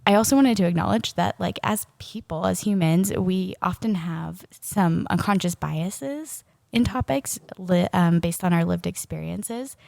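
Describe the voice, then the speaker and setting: A woman's voice: lowish voice, unhurried, vocal fry, hardly any pauses, darkish tone, dry recording, studio quality. A woman in her thirties co-hosting a podcast in casual chat, her voice still scratchy after a cold, talking with frequent ums and laughs. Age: 30s. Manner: chatty, laughs often, many ums. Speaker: podcast co-host.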